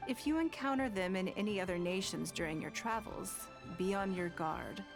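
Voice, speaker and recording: An actress playing a shrewd, composed noblewoman and political leader in a video game, speaking formally and deliberately. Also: medium pitch, expressive, natural voice. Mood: measured, confiding. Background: background music.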